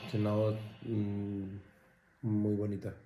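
A man's voice: fairly low pitch, monotone, slow, music in the background. A young man from Spain co-hosting a reaction video, chatting casually and rambling with lots of fillers and restarts. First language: Spanish. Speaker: reaction channel co-host. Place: living room at home. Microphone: fixed camera on a desk. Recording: roomy home echo.

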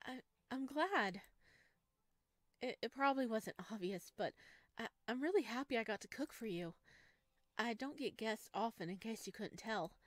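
A woman plays a shy young male forest creature from a visual novel game. He is earnest and eager, stammering over tender words in a fairly high voice, recorded close and dry. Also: lively intonation, moderate pace, no echo, lightly acted. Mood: shy, glad.

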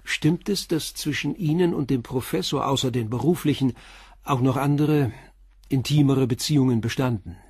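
A man's voice: mid-range voice, normal speed, darkish tone, husky, no echo, clean studio sound. An actor playing a stern, probing police inspector in a radio drama, pressing his questions bluntly. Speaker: police commissioner.

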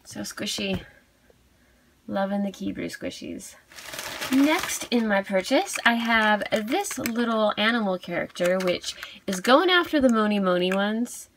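Creaky-voiced woman chatting about toys. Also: medium pitch, expressive, a little slow, noisy background. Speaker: toy reviewer, video host. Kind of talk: casual unboxing review chat. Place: indoors at a tabletop.

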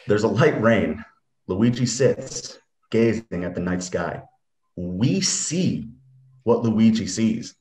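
A man's voice: lowish voice, darkish tone. A man in his thirties speaking over a laptop video call from a bare room, as narrator of a script. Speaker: reader of stage directions. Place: small bare room indoors. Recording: roomy, echoey video call.

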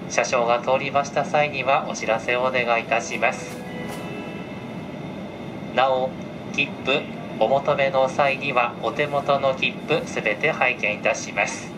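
A male train conductor speaks at a middle pitch in formal, courteous announcement style. His measured words come over the car's PA, with clear ventilation and vehicle noise around them. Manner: very polite, measured, formal phrasing. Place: inside a train car. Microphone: camera picking up cabin loudspeaker.

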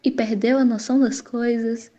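An actress playing a friendly but anxious young cousin in an earnest amateur radio soap opera. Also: female voice, on the high side, steady tone, moderate pace, warm tone, dull audio, close to an everyday voice.

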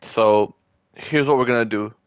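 A man's voice: mid-range voice, a little slow, clear, resonant tone, dry recording, rough recording. A young man in casual gaming chat with a friend, joking and trash-talking and often repeating his words. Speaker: gamer.

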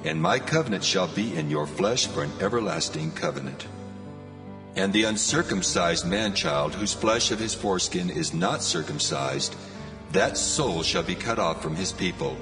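Commanding male deity, low gravelly voice, solemn, declamatory, clear musical backing, scripture drama. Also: big pitch swings, moderate pace, music in the background, heavily acted.

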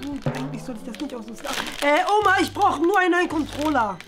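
A man's voice: very high voice, lively intonation, fast, over music. A man playing a polite, eager young schoolboy, boyish and a little hesitant, in a family sketch. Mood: pleased, polite. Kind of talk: scripted family sketch for children. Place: indoor rooms, camera a short distance away.

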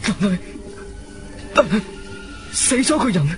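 An actor playing a frightened, timid young man with a Cantonese accent, pleading in halting, repeated phrases in a radio drama. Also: sits high, big pitch swings, music in the background. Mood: nervous, halting.

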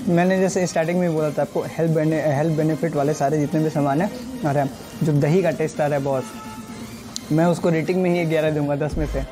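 A young man speaks with a Bihari Hindi lilt, reviewing dishes in a restaurant with easy, eager chatter. His voice sits a little high, and he keeps stringing thoughts together with fillers. Music plays clearly in the background. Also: steady tone, fast talker. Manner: chatty, enthusiastic, lots of fillers. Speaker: food vlogger. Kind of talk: casual food review. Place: restaurant indoors.

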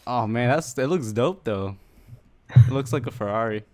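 A man with a mid-pitched voice plays prank caller. He chats casually and asks his questions with a straight, deadpan delivery. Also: normal speed. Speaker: prank caller, gaming channel host. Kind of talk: casual prank phone call.

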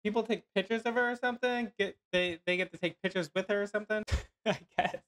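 A young man comments casually as a reaction host. His voice sits a little high, and he talks in an informal, sincere way from indoors. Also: fast, fairly bright tone, faint music behind. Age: young adult. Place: indoors at a desk, webcam view.

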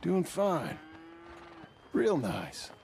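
An actor playing a patient middle-aged American cowboy ranch hand in a video game, with a gravelly voice and a reassuring drawl. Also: male voice, fairly high pitch, huge pitch swings, extremely slow, darkish tone, slight background noise, exaggerated voice. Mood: calm, reassuring. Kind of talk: naturalistic video game cutscene acting.

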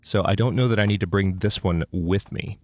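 Deep-voiced man narrating gameplay. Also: fairly quick, a little mellow, no room sound. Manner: chatty, thinks aloud, frequent restarts. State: slightly congested. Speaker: let's play host. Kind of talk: casual gameplay commentary.

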